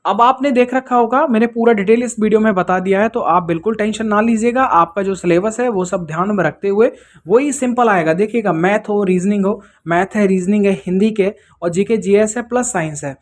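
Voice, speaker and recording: An exam-prep presenter with a mid-pitched voice talks students through things in an informal explainer style. The delivery is didactic, with rhetorical check-in questions along the way. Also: a little fast.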